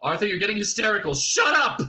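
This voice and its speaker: A man voicing a quick-witted male traveller in a story reading, exasperated and slyly persuasive. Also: on the high side, very animated, bright, forceful tone.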